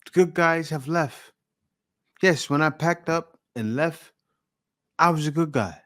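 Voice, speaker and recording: A man's voice: a little high, measured pace, a bit bright. A man hosting a reaction video, who speaks in a blunt, lecturing and emphatic way. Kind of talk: opinionated reaction commentary.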